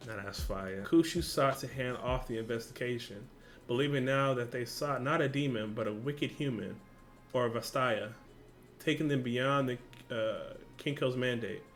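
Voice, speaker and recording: A man's voice: medium pitch, moderate pace, soft background music. A man reading a story aloud for a reaction video, often stumbling and correcting himself, with casual slang asides.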